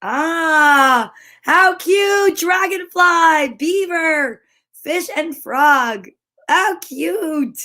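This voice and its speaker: A woman hosting a children's story time, reading picture books aloud with expressive exclamations and chatty, self-interrupting asides to viewers. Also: very high voice, drawn-out words. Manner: expressive, gushing exclamations and gasps.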